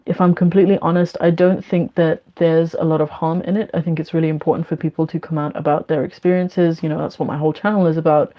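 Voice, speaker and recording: A woman with a London accent hosting a casual question-and-answer session, speaking in a frank, measured way. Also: low voice. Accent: London English. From London, UK; born in Bangladesh. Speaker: online Q&A host. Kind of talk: casual spoken Q&A, reading questions and giving opinions. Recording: dull, lo-fi sound.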